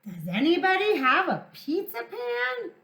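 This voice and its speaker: Woman as a high, swooping little red hen. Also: wildly swooping pitch, exaggerated voice. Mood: eager, calling out. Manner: clucking, calling out brightly in drawn-out phrases. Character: industrious, self-reliant little red hen, female storybook character. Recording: echoey room sound.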